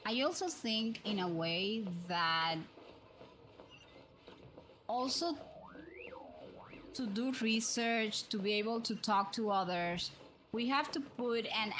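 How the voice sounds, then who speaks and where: A woman's voice: medium pitch, a bit bright, slight background noise, poor audio. A woman hosting a livestream, thinking aloud in casual, chatty and rambling commentary as she plays a game. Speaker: livestream host. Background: background music.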